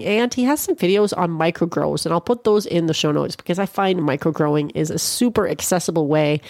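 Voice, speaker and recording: A woman's voice: lowish voice, gravelly, darkish tone, no room sound, studio quality. Congested, chatty Canadian woman podcaster. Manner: chatty and rambling, with frequent restarts. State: congested, a little nasal. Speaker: podcast host. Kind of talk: casual solo podcast monologue.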